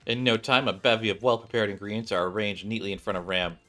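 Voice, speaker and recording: Bright-voiced man narrating a video game. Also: fairly low pitch, fairly even intonation, quick pace, faint music behind. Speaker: gaming streamer reading game story aloud. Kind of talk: narration and casual playthrough commentary.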